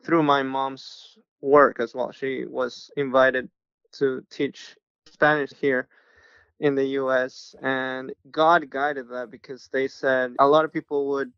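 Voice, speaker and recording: A man from Mexico tells his story as a podcast guest, his voice gravelly yet smooth, held to a fairly level pitch. He speaks in an earnest, steady way. The sound is dry and a little dull. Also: takes it slowly, no room sound. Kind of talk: casual interview storytelling.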